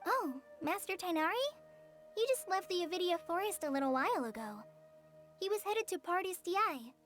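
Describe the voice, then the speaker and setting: A woman voicing a gentle, earnest young forest ranger trainee in a video game, soft and hesitant. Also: very high-pitched, lively intonation, moderate pace, faint music behind. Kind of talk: English video game dub.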